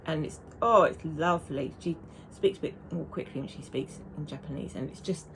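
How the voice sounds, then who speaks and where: A woman's voice: low-pitched, normal speed, noisy background. A woman, chatting casually and rambling to camera as a knitting vlogger. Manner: chatty and rambling, with frequent restarts.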